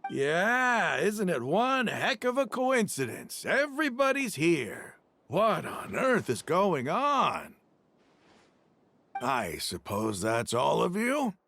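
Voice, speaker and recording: An actor playing a smug, mocking mercenary in a game, with a sarcastic drawl. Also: male voice, high-pitched, huge pitch swings, takes it slowly, fairly bright tone, a little hiss or hum, exaggerated voice. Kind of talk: dramatic game voice acting.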